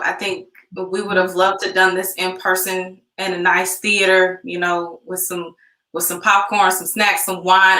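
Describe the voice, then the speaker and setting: A woman moderating a live Q&A casually, pausing mid-question, with a roomy, echoing sound. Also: mid-range voice, sharp tone. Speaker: host, moderator.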